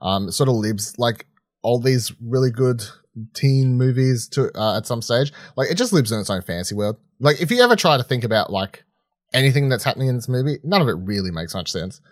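A man with a fairly low voice talks as a podcast co-host. He rambles through his opinions in a loose, sweary, conversational style, recorded dry and close. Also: expressive, a little fast, dry recording. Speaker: podcast co-host reviewing films and series. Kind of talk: casual unscripted film chat.

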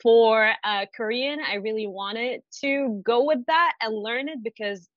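A fairly high-voiced woman whose first language is Arabic, speaking haltingly and filling gaps with "like" and "you know". The sound is dry and somewhat dull, like a remote lo-fi recording. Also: fairly bright tone, no room sound. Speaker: business coach guest. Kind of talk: podcast interview.

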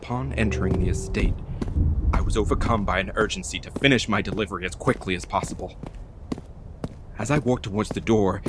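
A man's voice: on the low side, fairly quick, heavy background noise, lightly acted. An actor playing a hapless, anxious delivery carrier who tells his creepy tale with wry, theatrical drama in an audio play. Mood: uneasy, suspenseful. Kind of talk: dramatic first-person narration in an audio play.

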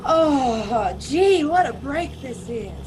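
Woman doing a high voiced hitchhiker gal, brassy n slangy, swoops all over, old radio play. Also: lively intonation, takes it slowly, darkish tone, slight background noise, poor audio. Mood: chatty, relieved. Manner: slangy, folksy, exclaiming. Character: brassy, chatty young female hitchhiker, plain-spoken. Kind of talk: old-time radio drama acting. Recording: video call recording.

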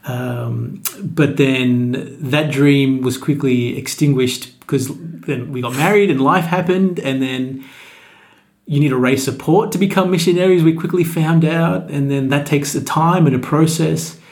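A man's voice: on the high side, a little slow, a little mellow. Easygoing man in his forties. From Australia. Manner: friendly, easygoing, with frequent restarts. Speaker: pastor, podcast guest. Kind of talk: casual interview.

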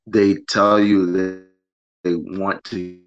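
A man talking slowly and hesitantly on a live show, searching for his words and hedging his views. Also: sits low, flat delivery. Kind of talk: casual live show discussion. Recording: dull, dry lo-fi audio.

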